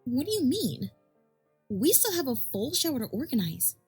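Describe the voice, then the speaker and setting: A gentle mare and expectant wife, voiced by a woman, speaks in an audio story. Her voice is fairly high and heavily breathy, with an affectionate, caring manner over background music. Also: big pitch swings, normal speed, exaggerated voice. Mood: gentle, practical. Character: gentle, caring pregnant female pony wife. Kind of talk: acted audio fan fiction.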